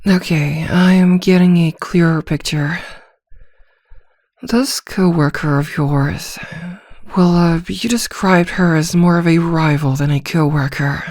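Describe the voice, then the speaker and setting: A composed, attentive therapist played by a woman speaks in a slightly low, soft voice for an ASMR roleplay. She is measured and reassuring, probing gently with small hesitations. The sound is very dry, with no room around it. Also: no room sound. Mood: attentive, calm.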